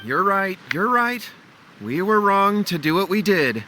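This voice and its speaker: A man's voice: high-pitched, sing-song, unhurried, pushed and bright, a little hiss or hum, exaggerated voice. An actor playing a nervous young man who stammers and confesses in fright.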